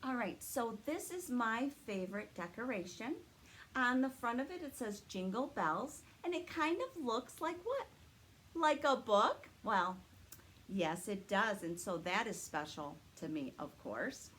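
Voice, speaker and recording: A woman hosting a story time for small children, talking to young viewers in an animated, sing-song way. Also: on the high side, measured pace, a little hiss or hum. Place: indoors in a library.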